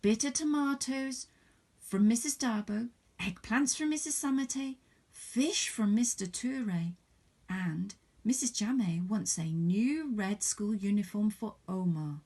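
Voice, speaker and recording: A woman voicing an excited, eager young village girl in a storybook, loud and emphatic. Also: medium pitch, slow pace, voice pushed up.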